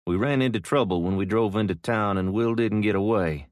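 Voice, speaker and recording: A man voicing a steady, practical grown man who speaks bluntly and matter-of-factly. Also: fairly low pitch, fairly quick, no echo, clean studio sound, natural voice.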